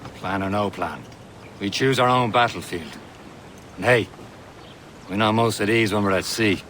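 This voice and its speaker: A man playing a steady, loyal Assassin in a video game, talking in a dry, matter-of-fact way with gruff friendliness. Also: fairly low pitch, normal speed, close to an everyday voice. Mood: purposeful. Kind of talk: video game dialogue acting. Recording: noisy outdoor ambience behind.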